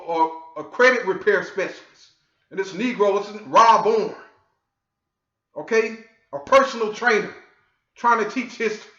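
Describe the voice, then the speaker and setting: A man from the United States lecturing his viewers in a scolding, forceful way and often asking "okay?". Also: high-pitched. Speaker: online commentator. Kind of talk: lecturing monologue. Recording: echoey, dull lo-fi audio.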